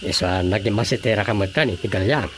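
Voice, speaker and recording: An actor playing a talkative provincial man in a radio comedy, with an Ilocano accent and a gravelly, folksy delivery. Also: on the low side, fast talker, slight background noise, natural voice. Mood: chatty, curious.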